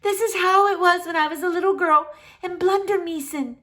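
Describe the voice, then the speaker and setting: A woman voicing a tender, sentimental lady doctor in a children's storybook, exclaiming in drawn-out phrases. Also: very high voice, bright, forceful tone, voice pushed up. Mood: nostalgic, joyful. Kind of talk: acted children's storybook dialogue.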